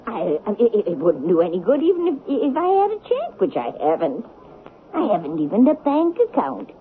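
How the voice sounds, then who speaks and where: An actress playing a prim, pious elderly cousin in a 1970s radio drama, gentle but unbending and properly spoken. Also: female voice, mid-range voice, huge pitch swings. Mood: flustered but steadfast. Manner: proper, moralizing, deliberate. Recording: tinny, noisy old radio broadcast.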